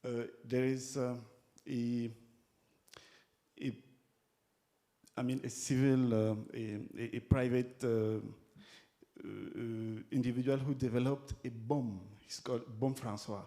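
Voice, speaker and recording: A man with a Cameroonian accent speaking off the cuff at a conference discussion, haltingly, repeating words as he searches for phrasing. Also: medium pitch, steady tone, mellow. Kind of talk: impromptu speech at a conference discussion.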